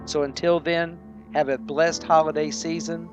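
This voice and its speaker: Quiet, earnest male show host over music. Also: a little high, little pitch movement, normal speed, dull audio. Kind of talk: sincere sign-off to listeners.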